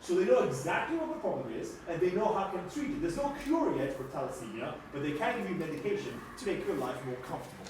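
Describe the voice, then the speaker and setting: A man's voice: a little high, very animated, fast talker, few pauses, darkish tone. A man playing a kindly, flustered scientist doctor who explains things emphatically and repetitively, with stammers, in a live children's puppet show. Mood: earnest, flustered. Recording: echoey room, distant mic, faint noise.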